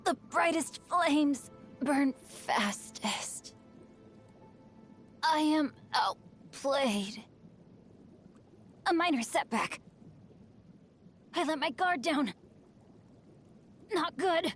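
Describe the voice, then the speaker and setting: A composed, chivalrous young woman tactician from a video game. Her voice is high and she takes her time over each solemn, formal line. Faint music plays underneath. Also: expressive, extremely slow, pauses often, heavily acted. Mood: defeated. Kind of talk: video game character voice lines.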